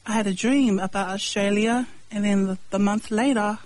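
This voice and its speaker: A woman's voice: mid-range voice, fairly even intonation, a little mellow, no room sound. Earnest woman with a dry, close sound. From Samoa, raised in New Zealand. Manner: plain, halting, with short answers and pauses. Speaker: guest, hip-hop artist and evangelist. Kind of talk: earnest personal testimony.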